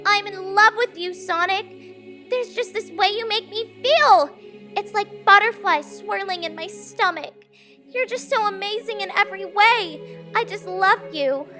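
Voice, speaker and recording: A woman's voice: very high-pitched, pushed and bright, full character voice. A woman voicing a sweet, lovestruck hedgehog heroine with an earnest, gushing manner in a fan audio drama.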